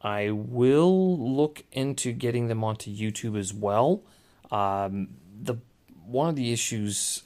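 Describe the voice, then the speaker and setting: A man with a naturally loud voice hosting a casual solo podcast, hesitant and often correcting and rephrasing himself as he goes. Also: lowish voice. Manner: hesitant, self-correcting, circling back to rephrase. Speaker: podcast host. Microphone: small handheld recorder or podcast microphone.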